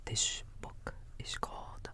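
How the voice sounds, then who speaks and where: A man playing a kindly raccoon babysitter in a bedtime ASMR roleplay, whispering softly and gently reassuring a small child in a folksy, halting way. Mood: soothing, playful. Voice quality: soft, breathy whisper. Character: kindly big-brotherly male raccoon babysitter.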